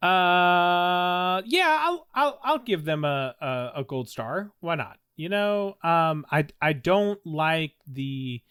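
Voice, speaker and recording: A man's voice: on the high side, lively intonation, slow pace, hardly any pauses, a bit bright, clear, resonant tone, no echo, clean studio sound. A man playing an earnest, self-important student in a comedic mock class, who stammers and restarts his sentences. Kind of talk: comedic improvised roleplay.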